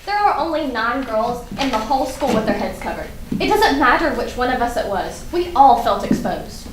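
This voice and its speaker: A woman playing a guarded, principled, quietly indignant teenage schoolgirl in a staged play reading, speaking in a terse, pointed way. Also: on the high side, very animated, moderate pace. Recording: distant, echoey room with clear noise.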